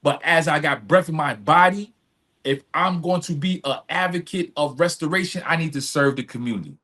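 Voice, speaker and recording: Male interview guest, voice a little high, speaks in earnest, emphatic, repetitive phrases. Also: brisk, sharp tone. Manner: earnest, emphatic, repeats phrases, often checks with "right?". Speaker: podcast guest, community service advocate. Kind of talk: reflective personal storytelling in conversation.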